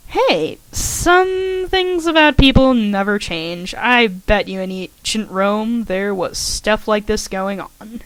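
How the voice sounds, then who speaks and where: A woman's voice: mid-range voice, expressive, slow, few pauses, dry recording, low-quality recording. A woman voicing a friendly, teasing young college student with a playful, upbeat manner.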